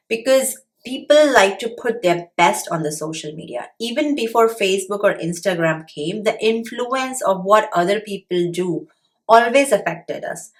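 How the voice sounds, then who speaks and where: Earnest Indian-accented woman explaining finances. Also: mid-range voice. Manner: earnest, explanatory, with occasional pauses and fillers. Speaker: personal finance YouTuber. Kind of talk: direct-to-camera talk.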